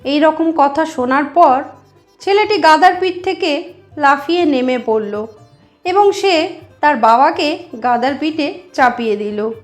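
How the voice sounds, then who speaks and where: High-pitched, unhurried woman storyteller. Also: animated, faint music behind. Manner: addresses listeners directly, explanatory. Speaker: storytelling channel host. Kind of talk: narrated moral storytelling.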